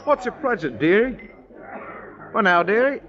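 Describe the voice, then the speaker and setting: An actor playing a wheedling, fawning pub customer, coaxing in an oily way in a radio drama. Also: male voice, sits high, sing-song, a little slow. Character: wheedling, fawning male pub suitor buying gin. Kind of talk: 1950s radio drama acting. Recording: noisy, muffled old radio recording.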